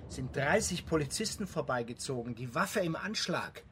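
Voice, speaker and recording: An actor playing an excitable, self-important man in a radio drama, speaking in an emphatic, opinionated way. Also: fairly high pitch, moderate pace. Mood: agitated, explaining. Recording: clearly noisy background.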